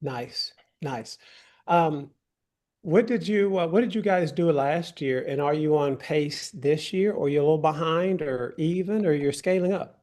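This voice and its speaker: A man's voice: a little high, measured pace, darkish tone. A man hosting an interview, a real estate agent who chats in a folksy, affirming way. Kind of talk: interview on video call.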